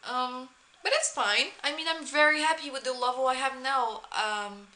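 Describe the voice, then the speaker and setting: Young French-accented woman, casual vlog chat, fairly high, bright voice. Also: fairly even intonation. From France. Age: young adult. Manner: chatty, many "um" pauses and restarts. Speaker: vlogger, former exchange student. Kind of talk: casual talk to camera.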